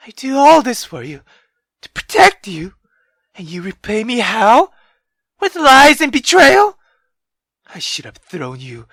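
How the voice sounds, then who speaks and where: A woman's voice: on the high side, a bit bright, breathy edge, no echo, voice pushed up, full character voice. A woman voicing a furious, cruel, bitter mother in a visual novel, scolding and menacing as she rants. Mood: bitter, threatening. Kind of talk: dramatic visual novel reading.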